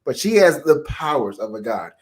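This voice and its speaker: A man's voice: mid-range voice, sing-song, moderate pace. A man co-hosting a fan livestream in casual banter, emphatic and teasing, repeating words for effect. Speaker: co-host of a fan debate livestream.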